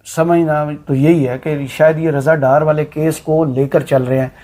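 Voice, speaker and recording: A man's voice: fairly high pitch, steady tone, measured pace, dark tone. A man hosting a political talk show, asking questions in a sarcastic, conversational way. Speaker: host.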